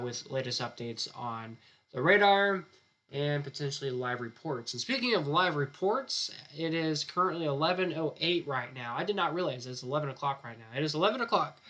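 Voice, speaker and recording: A man forecasts the weather live from a studio, talking loosely and circling back with "again" as he goes. His voice sits a little high and sounds bright and forward, with the strain of a throat that is starting to give out. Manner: loose, rambling, repeats "again" often. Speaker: chief forecaster. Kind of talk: live weather stream commentary.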